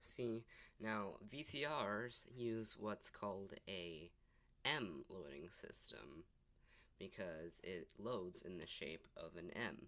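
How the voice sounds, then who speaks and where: A man giving an informal explanation as a presenter, speaking haltingly in short broken phrases with pauses. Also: low voice, expressive, bright, forceful tone. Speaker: video presenter explaining technology. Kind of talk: unscripted explainer, demonstrating to camera.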